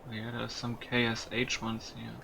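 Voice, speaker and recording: A man's voice: mid-range voice, completely flat delivery, normal speed, gravelly, noisy background. A man giving casual video game commentary, musing aloud with some mild swearing. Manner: musing aloud, mildly profane.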